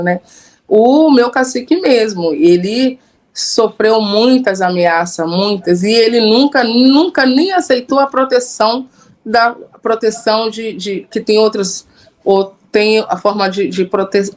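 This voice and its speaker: A woman, a community leader, answering radio interview questions earnestly, often restarting her sentences. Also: medium pitch. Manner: earnest, halting, many restarts.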